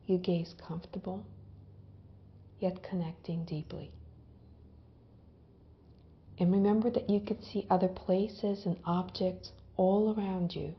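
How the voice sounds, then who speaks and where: A woman leading a guided visualization in a gentle, hypnotic way, with long pauses and soft phrase endings that trail off toward a whisper. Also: fairly low pitch, fairly even intonation, dull audio, slight background noise. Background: background music.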